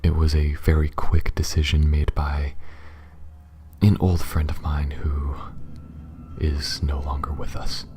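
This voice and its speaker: Male actor as gentle alien shipmate, ASMR roleplay: extremely deep, unhurried, reassuring, hushed halting delivery. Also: level tone, a little hiss or hum. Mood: calm, reassuring. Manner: halting, soft-spoken, often dropping into near whisper. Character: peculiar, calm, reassuring male alien spaceship pilot with a tail. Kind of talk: ASMR roleplay addressed to the listener.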